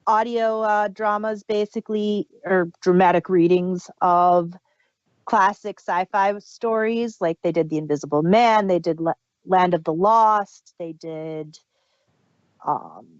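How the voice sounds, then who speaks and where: A woman's voice: fairly low pitch, unhurried, no echo, muffled recording. A woman hosting a casual audio drama review, explaining things in detail like an enthusiastic fan. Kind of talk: casual group discussion.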